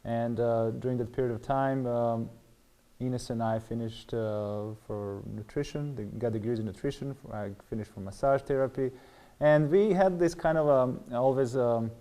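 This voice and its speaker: Male interview guest, middle-aged, Serbian accent, dark relaxed mid-range voice, hesitant storytelling. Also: mid-range voice. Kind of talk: personal testimony in interview.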